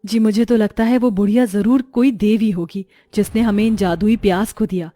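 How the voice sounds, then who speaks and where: A woman playing a kind village mother and wife in a cartoon story, speaking in a gentle, earnest way. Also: medium pitch, steady tone, normal speed, no room sound, clean studio sound, soft background music, close to an everyday voice.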